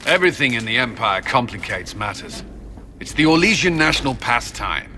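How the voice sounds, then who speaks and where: A man's voice: medium pitch, big pitch swings, normal speed, pushed and bright, slight background noise. An actor voicing a blunt, disdainful male military commander in video game dialogue.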